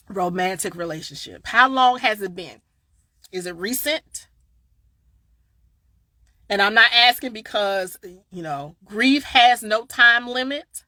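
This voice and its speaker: A woman with a Southern accent, chatting casually on a live stream as a grief coach, a little tired, swinging from loud bursts to quiet asides. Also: mid-range voice, no room sound. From Southern United States. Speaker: grief coach and podcast host. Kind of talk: casual live-stream chat answering viewers.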